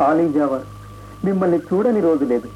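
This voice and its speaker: A man's voice: fairly high pitch, animated, brisk, natural voice. A deferential, earnest minister acted by a man speaks to his ruler in a raised, respectful voice. This is radio play acting, muffled and faintly noisy. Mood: earnest pleading. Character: loyal, deferential royal minister, earnest and pleading. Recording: dull radio recording, faint hiss.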